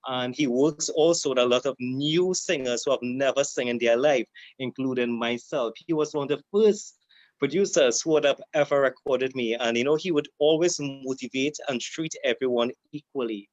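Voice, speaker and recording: A man co-hosting over a video call, introducing a guest with careful, repeated phrasing. The audio is dry and somewhat dull. His pitch is ordinary. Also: no echo. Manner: deliberate, repeating and correcting himself. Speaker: co-host.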